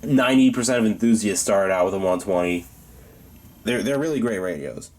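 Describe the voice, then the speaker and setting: Low-voiced man, casual hobbyist tour. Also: lively intonation, brisk, darkish tone. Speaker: hobbyist presenting a radio collection. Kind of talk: casual collection tour commentary.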